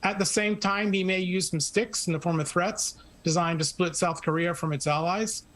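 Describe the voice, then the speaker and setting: A man giving measured, explanatory analysis as a guest in a news interview. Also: high-pitched, fairly bright tone.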